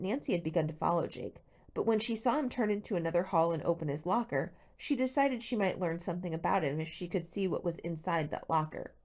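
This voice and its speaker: A woman reading a teen mystery novel aloud as expressive scripted narration. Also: on the low side. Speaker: audiobook reader.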